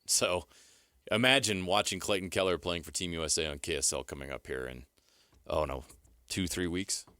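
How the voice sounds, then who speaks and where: A man's voice: low-pitched, moderate pace, pushed and bright, clear, resonant tone, no room sound, clean studio sound. A man co-hosting a sports radio show, chatting casually. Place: radio studio.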